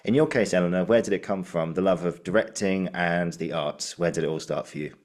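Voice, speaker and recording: A man's voice: low voice, a little fast, darkish tone. A man hosting a podcast and interviewing a guest in a casual, chatty style. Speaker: podcast host.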